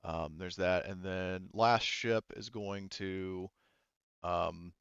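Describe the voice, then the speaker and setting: Low, smooth-voiced man thinking aloud. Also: slow pace, fairly bright tone, no room sound, dull audio. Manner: hesitant, thinking aloud, explanatory. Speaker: game master. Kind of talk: casual tabletop game running.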